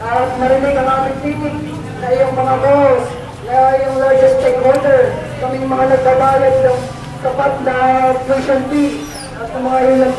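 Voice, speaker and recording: A young woman with a Filipino accent giving an emphatic, impassioned protest speech as a student speaker, appealing directly to her listeners. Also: high voice. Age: young adult. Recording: echoey outdoor rally, distant, crowd noise, music.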